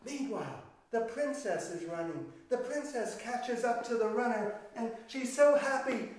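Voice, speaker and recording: Guy reading kids a fairy tale, really echoey and a bit far from the mic, slow and theatrical. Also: very high voice, expressive. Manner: theatrical, deliberate pauses and emphatic repetition. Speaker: children's storyteller. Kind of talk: animated storytelling of a fairy tale. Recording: echoey room, distant microphone.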